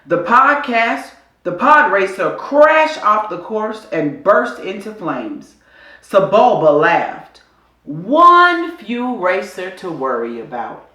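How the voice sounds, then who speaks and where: A woman reads an adventure story for children in an echoey room. Her voice is bright and clear-cut in its storytelling, with lively swings in pitch. She takes her time, delivering the scripted narration with deliberate drama. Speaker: storybook reader.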